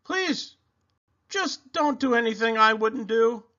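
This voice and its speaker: A man's voice: extremely high pitch, expressive, takes it slowly, bright, forceful tone, highs cut off, pitched above the performer's own voice, full character voice. A man voicing a firm, polite, fussy mother in a children's storybook, with a pleading, emphatic manner.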